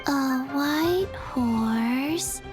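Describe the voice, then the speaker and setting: A woman voicing a gentle, sleepy little undead girl in a video game, speaking in a halting deadpan. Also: high-pitched. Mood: dreamy, placid. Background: music.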